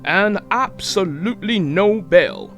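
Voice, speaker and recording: A woman voicing a stern, pompous, merciless pickle judge in a children's storybook, shouting drawn-out pronouncements. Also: mid-range voice, lively intonation, soft background music. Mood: thunderous.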